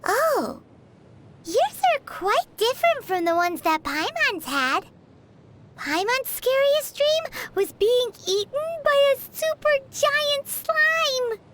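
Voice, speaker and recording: A woman voicing a tiny, childlike, naive fairy companion in a video game, with a squeaky, cutesy, exclaiming delivery. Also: very animated, slow pace, fairly bright tone, full character voice. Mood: curious. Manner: refers to self in third person, exclaiming, cutesy. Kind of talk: video game character voice acting.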